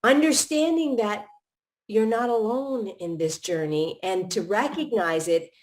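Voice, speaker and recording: A woman's voice: mid-range voice, unhurried. A middle-aged woman hosting a live podcast, chatting casually in long run-on thoughts with many restarts. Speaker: podcast host.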